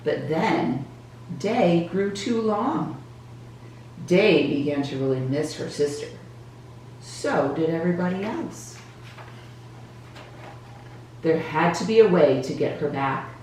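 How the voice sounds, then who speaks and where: Slow, low-voiced woman reading a children's story. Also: expressive, a little mellow. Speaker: children's storybook reader for a church Sunday school. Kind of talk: scripted storybook narration for children. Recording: roomy echo, distant mic, faint noise.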